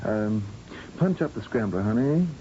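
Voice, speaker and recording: A man's voice: animated, a little slow, warm tone. An actor playing a weary, grim male scientist in a radio drama, with a blunt, resigned manner. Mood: grim resignation. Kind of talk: 1970s-style radio drama acting. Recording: muffled, noisy old radio broadcast with rumble.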